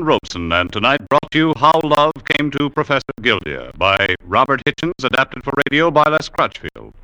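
A man's voice: fairly high pitch, sharp tone, dry recording, rough recording. A man announcing formally and emphatically in the style of a 1940s radio broadcast, on a vintage recording.

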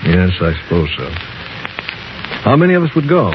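An actor playing an eager, inquisitive novice explorer in a 1950s radio drama, earnest and questioning. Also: male voice, lowish voice, huge pitch swings, moderate pace. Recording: noisy, dull old 1950s radio recording.

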